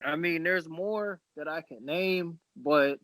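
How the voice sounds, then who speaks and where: A man with a fairly high voice hosts a casual podcast. He talks informally and haltingly, often circling back to repeat a phrase. The sound is very dry, with hardly any room on it. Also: a bit bright, dry recording. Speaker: podcast host. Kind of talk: casual chat about films and games.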